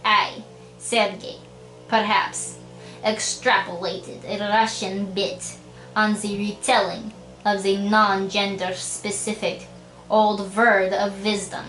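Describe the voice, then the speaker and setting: A man's voice: sits high, fairly bright tone, pitched above the performer's own voice. A man voicing a boastful, crude Russian man in a comic monologue, with an exaggerated mock Russian accent and broken grammar. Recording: roomy echo with faint music.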